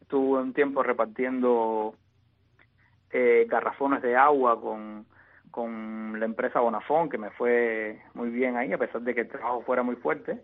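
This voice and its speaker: Cuban man, exiled poet guest, halting delivery full of restarts, tinny phone-line sound. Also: mid-range voice, fairly even intonation. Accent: Cuban Spanish. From Cuba. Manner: halting, many restarts and repeated words. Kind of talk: interview answers.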